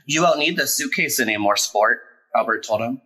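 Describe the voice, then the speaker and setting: A man reading a children's picture book aloud as a storyteller, in an expressive, deliberate way with emphasized words. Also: mid-range voice, husky, rough recording. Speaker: storyteller, drag performer.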